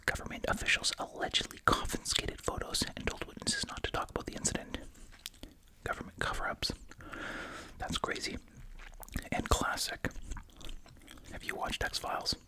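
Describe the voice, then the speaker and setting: An ASMR host speaks entirely in an unvoiced whisper, right up close to the microphone. The delivery is hushed and informal, moving between reading aloud and musing asides, with gum being chewed along the way. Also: normal speed, pauses often, poor audio. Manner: chewing gum while speaking.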